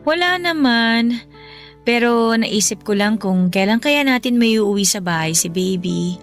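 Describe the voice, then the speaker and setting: An actress playing a supportive, affectionate wife with a Filipino accent in a conversational, tender radio drama style. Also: female voice, a little high, expressive, measured pace, soft background music. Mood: worried. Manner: tender, encouraging. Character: supportive, affectionate working wife and young mother.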